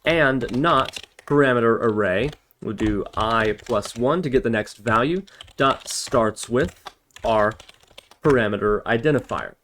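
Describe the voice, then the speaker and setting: A man's voice: mid-range voice, unhurried, a bit bright. A man giving an informal coding tutorial, thinking aloud as he works through each step. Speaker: programming tutorial instructor. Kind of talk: casual screencast coding tutorial, talking through steps while typing. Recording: background hiss, keyboard clicks and typing. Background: computer keyboard typing and mouse clicks.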